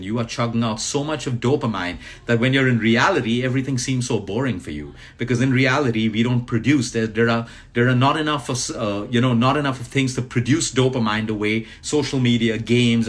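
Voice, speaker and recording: A man's voice: mid-range voice, a bit bright. A man speaking directly to listeners about looking after their health, urging and emphatic, repeating his key points. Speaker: wellness speaker. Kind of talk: advice talk to listeners.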